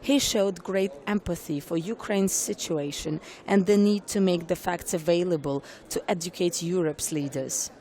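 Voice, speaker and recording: A woman news reporter giving clear, formal scripted narration. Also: fairly low pitch, normal speed, hardly any pauses, dark tone.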